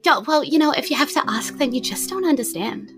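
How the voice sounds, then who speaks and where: A woman's voice: high-pitched, animated, fairly quick, over music, voice pushed up. A woman playing an eccentric, easygoing female shopkeeper in a tabletop game, affectionate and teasing, with casual, filler-heavy speech. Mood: playful.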